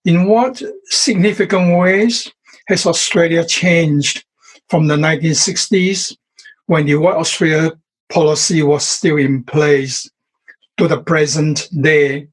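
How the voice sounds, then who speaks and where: Male interviewer, higher voice, very slow, formal questions. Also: breathy edge, rough recording. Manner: measured, formal delivery. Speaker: interviewer, professor. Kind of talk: reading prepared interview questions.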